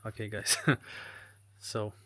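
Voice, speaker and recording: Ukrainian-accented man, halting English. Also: on the low side, fairly even intonation, bright, forceful tone, no room sound. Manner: hesitant, halting English with many fillers. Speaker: livestream host. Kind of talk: live stream reading chat comments. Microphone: phone.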